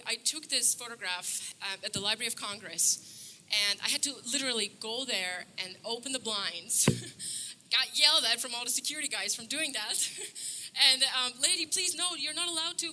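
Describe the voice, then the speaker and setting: A woman's voice: a little high, pushed and bright, slight background noise, low-quality recording. A young woman from Bulgaria giving an upbeat stage talk at a conference, earnest, laughing often, with many fillers and restarts. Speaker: conference speaker. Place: conference stage before an audience.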